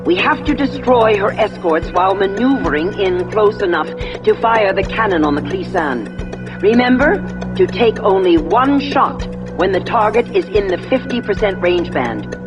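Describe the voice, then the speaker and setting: An actress playing a resolute warship intelligence officer in a sci-fi game, measured and military in delivery. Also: female voice, mid-range voice, lively intonation, highs cut off, close to an everyday voice. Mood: grave, businesslike. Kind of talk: scripted sci-fi game dialogue. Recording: loud music under the voice.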